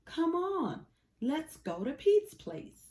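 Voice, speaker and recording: Woman voicing a high, stubborn storybook toad. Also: sing-song, slow pace, a little mellow, full character voice. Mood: eager. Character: grumpy male toad, restless and stubborn.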